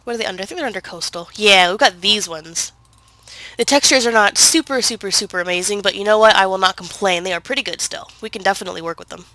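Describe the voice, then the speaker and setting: Woman with a cold, congested and stuffy, bright forward tone, rambling gameplay commentary close on a dry mic. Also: medium pitch, quick pace, no echo, low-quality recording. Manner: chatty, rambling, full of fillers and self-corrections. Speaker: gaming video creator.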